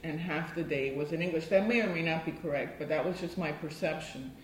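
Native Spanish speaker, mid-pitched, reflective interviewee, echoey room. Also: fairly quick, slight background noise. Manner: reflective, thoughtful recollection with small restarts. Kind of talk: oral history interview.

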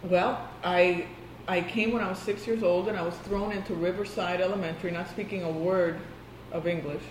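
Native Spanish speaker, mid-pitched, reflective interviewee, echoey room. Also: fairly even intonation, normal speed, slight background noise. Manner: reflective, thoughtful recollection with small restarts. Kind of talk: oral history interview.

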